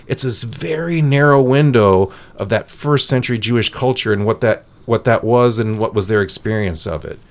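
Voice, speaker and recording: A man's voice: fairly low pitch, normal speed, hardly any pauses. A man from central Iowa, a priest guest on a studio talk show, explaining in an earnest way with frequent restarts. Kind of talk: studio podcast conversation. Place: radio studio.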